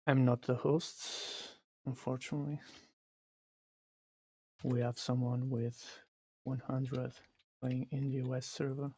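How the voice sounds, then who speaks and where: Flat-voiced Portuguese man streaming. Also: mid-range voice, breathy edge, no echo. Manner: halting, restarts phrases while explaining. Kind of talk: live gameplay commentary.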